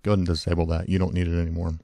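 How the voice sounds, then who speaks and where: A hoarse man with a cold, casually walking through a software tutorial with lots of fillers and self-corrections. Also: low voice, flat delivery, very fast, no echo. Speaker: tutorial host. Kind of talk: screen-recorded software tutorial.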